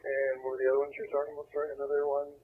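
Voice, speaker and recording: Guy cop on scanner radio, fast talker, kinda raspy, tinny sound. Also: a little high, completely flat delivery. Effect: two-way radio. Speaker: police officer on radio. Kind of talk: casual radio exchange. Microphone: radio transmission picked up by scanner.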